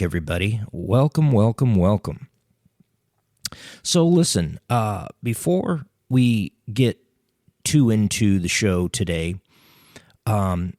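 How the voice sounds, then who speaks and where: A middle-aged man hosting a casual solo podcast, talking in a relaxed, rambling way with lots of ums, close to a studio mic. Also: lowish voice, no room sound. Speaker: podcast host.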